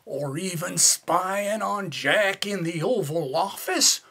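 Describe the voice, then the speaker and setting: An actor playing a gruff, impatient colonel in a comedic radio play, blustering and commanding with a raspy voice. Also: male voice, high-pitched, lively intonation, slow pace, pushed and bright, full character voice. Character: gruff, impatient, blustering male military colonel, demanding results.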